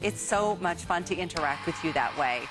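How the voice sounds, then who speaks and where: A woman hosting a home shopping show, chatting in a gushing, enthusiastic way as she pitches products live. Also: lively intonation, a little fast, rough recording. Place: TV shopping studio. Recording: music behind the voice.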